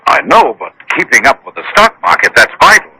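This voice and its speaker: An uneasy man in a radio mystery drama. His voice is husky and swings widely in pitch, with a sharp, forceful edge. The sound is that of a radio broadcast. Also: huge pitch swings, a little fast, heavily acted, low-quality recording. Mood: anxious. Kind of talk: 1970s-80s radio drama acting.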